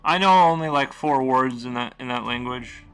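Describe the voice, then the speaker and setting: A man streaming while he draws, chatting casually in a rambling, relaxed way with lots of fillers. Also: medium pitch, normal speed, clear, resonant tone, faint music behind. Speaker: streamer and artist. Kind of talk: casual live-stream chat while drawing.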